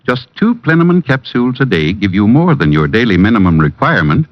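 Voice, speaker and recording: Low male voice, very lively intonation, vintage commercial pitchman, emphatic, muffled radio recording. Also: fairly quick. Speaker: advertising pitchman playing a druggist.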